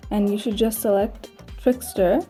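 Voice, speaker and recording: A woman's voice: mid-range voice, steady tone, measured pace, dark tone, soft background music. A woman narrating a step-by-step tutorial in a plain, instructional manner. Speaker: tutorial host.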